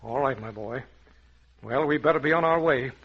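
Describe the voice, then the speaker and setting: An actor playing a commanding, fatherly safari adventurer in vintage radio adventure style, brisk and authoritative. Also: mid-range voice, husky. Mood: fatherly, cautioning. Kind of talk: 1940s radio adventure acting. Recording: dull lo-fi vintage radio recording.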